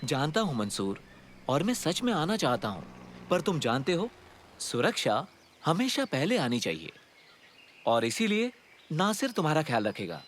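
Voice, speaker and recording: A man voicing a responsible, reassuring middle-aged father, explaining earnestly in an animated children's comedy. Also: on the high side, normal speed, pauses often, a little hiss or hum.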